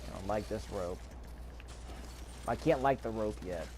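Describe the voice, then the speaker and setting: A man's voice: on the low side, measured pace, darkish tone, a little hiss or hum. A man giving casual, off-the-cuff gameplay commentary, musing aloud and repeating his phrases. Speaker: gaming video host. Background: faint video game ambience.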